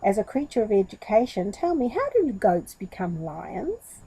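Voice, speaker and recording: A woman's voice: medium pitch, sing-song, moderate pace, vocal fry, mellow, slightly rough, heavily acted, rough recording. A woman voicing an earnest, boastful male goat in a children's story, declaiming in emphatic phrases. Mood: eager.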